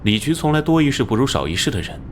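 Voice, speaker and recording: A man voicing an adult police detective captain in an animated crime drama. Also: mid-range voice, fast talker, a little hiss or hum, lightly acted. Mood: skeptical. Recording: background music under the voice.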